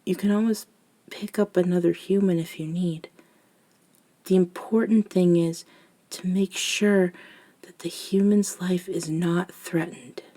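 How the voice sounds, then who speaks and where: A woman as a slow, hushed elder vampire mentor. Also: on the low side, fairly even intonation. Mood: reassuring. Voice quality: soft, breathy, often near a whisper. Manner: slow, soothing, reassuring, with long pauses. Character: gentle elder female vampire mentoring a nervous young one. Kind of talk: soft-spoken ASMR roleplay monologue.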